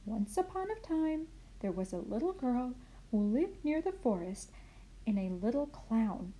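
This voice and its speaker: A woman playing a kindly, muddled grandmother in an expressive children's storybook reading. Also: fairly high pitch, unhurried, low-quality recording. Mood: earnest storytelling. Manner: expressive storybook reading with puzzled self-corrections. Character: kindly, muddled grandmother misreading fairy tale aloud.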